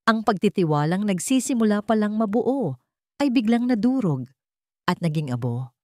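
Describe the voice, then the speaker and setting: Low-pitched woman narrating, very dry sound. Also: darkish tone, dry recording. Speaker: storyteller. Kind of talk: scripted dramatic narration of a love story.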